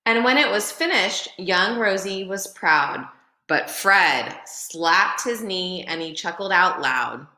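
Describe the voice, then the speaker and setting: A woman reads a children's picture book aloud in an echoey room. Her voice is bright and a little low, and she takes her time with expressive, deliberate phrasing. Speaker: storyteller reading aloud.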